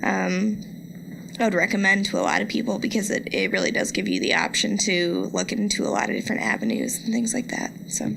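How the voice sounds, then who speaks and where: A woman's voice: medium pitch, fairly even intonation, fairly quick, gravelly, background noise. A woman sharing unscripted reflections at a live event, hesitant and trailing from thought to thought. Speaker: poetry reader, student or alumna. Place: live college lecture event.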